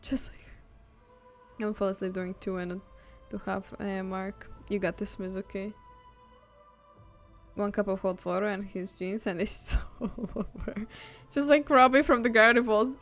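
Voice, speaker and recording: A woman streamer giving casual livestream game commentary with playful, animated reactions. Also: medium pitch.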